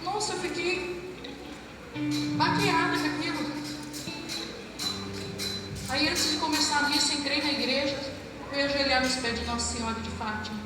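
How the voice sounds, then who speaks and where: A middle-aged woman with a Brazilian Portuguese accent telling her church testimony to an audience, a lively storyteller who acts out dialogue and asks the crowd questions. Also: high-pitched, normal speed, long pauses. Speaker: former pastor giving a testimony. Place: large hall. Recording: echoey hall from far off with music. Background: music.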